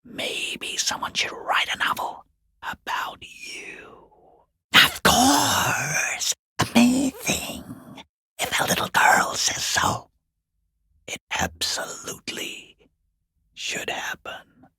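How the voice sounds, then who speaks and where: A man playing a sneering, furtive peeping lurker in a video game, with a hoarse, breathy, half-whispered rasp and a sarcastic, taunting drawl. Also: very high-pitched, huge pitch swings, slow pace, sharp tone, no echo, full character voice. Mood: sarcastic, theatrical. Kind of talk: video game character acting.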